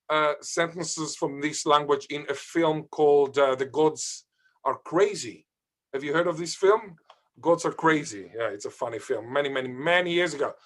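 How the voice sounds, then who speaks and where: A man, a Hebrew speaker, gives a seminar lecture over a video call. His delivery is lively and emphatic, broken up by pauses and filler sounds as he moves from point to point. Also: medium pitch. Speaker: guest lecturer on language revival. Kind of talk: academic seminar talk. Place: home or office, far from the audience.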